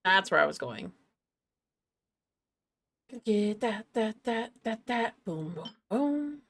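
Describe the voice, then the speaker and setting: A woman's voice: medium pitch, big pitch swings, slow pace, a bit bright, a little hiss or hum. A woman doing casual, slangy gameplay commentary as a streamer, often muttering repeated words to herself. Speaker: game streamer.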